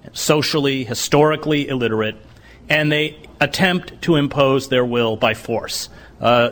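A middle-aged man giving a lecture, speaking deliberately and pausing often with "uh" as he gathers his thoughts. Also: mid-range voice, fairly bright tone. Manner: deliberate, halting with many "uh"s. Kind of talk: bookstore lecture.

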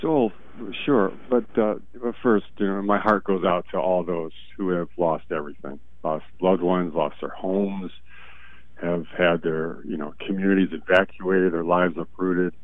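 Hoarse, deep-voiced man on a phone line. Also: steady tone, no echo. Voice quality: gravelly. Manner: slow and halting, often trailing off quietly. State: hoarse, stuffy and congested. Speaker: guest expert on call-in. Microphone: phone call. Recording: tinny phone line, dry.

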